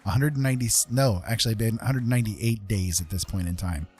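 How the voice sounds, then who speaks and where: A man's voice: fairly low pitch, quick pace, a little mellow, husky, soft background music. A middle-aged man chatting casually and self-deprecatingly as a livestream host, in a rambling, conversational way. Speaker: livestreamer hosting an art tutorial and chat. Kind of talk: casual chat to a live chat room.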